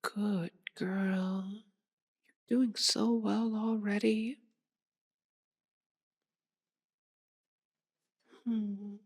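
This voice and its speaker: A woman's voice: mid-range voice, fairly even intonation, drawn-out words, darkish tone, rough recording. A woman voicing a sadistic, possessive female vampire in an intimate ASMR roleplay, purring and domineering, often dropping toward a whisper. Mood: menacing, pleased.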